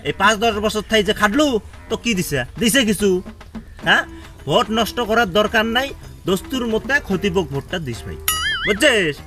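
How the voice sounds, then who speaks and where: A man playing a brash, boastful village election supporter, arguing in a northern Bengali dialect with loud rhetorical questions. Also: sits high, expressive, normal speed, music in the background. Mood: scolding. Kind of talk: comedy skit acting in village dialect.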